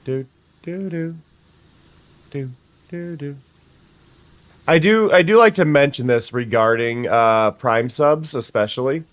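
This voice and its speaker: Brief: young sniffly streamer, mid-pitch man's voice, chatty with restarts, dry booth sound. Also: takes it slowly, pauses often, no echo. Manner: chatty, stumbling restarts, greets viewers. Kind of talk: casual gameplay chat.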